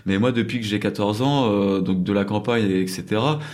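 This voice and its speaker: A man's voice: on the low side, moderate pace, darkish tone. A French man telling stories casually in an interview, rambling, with many fillers and restarts. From France. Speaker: crêperie owner in South Korea.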